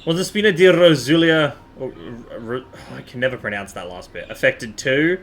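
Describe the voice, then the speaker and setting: A man's voice: mid-range voice, animated, normal speed. A man chatting casually as he streams a game from a room at home. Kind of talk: casual live stream chat. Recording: roomy, echoey stream with faint music. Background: faint game music.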